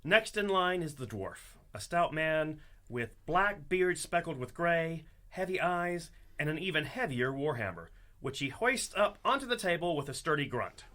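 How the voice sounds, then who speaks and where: A man's voice: a little high, very animated, moderate pace, bright, forceful tone. A man acting as the theatrical narrator of a fantasy comedy radio play, reading scripted scene descriptions. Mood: wry storytelling.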